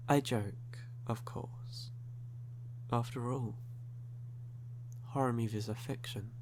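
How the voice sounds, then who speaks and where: A man performs a sly, flirtatious male vampire in an ASMR audio roleplay. His mid-pitched speech is very unhurried, courtly and teasing, with formal phrasing. A noticeable hum sits under the recording. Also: a little mellow. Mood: sly, ominous.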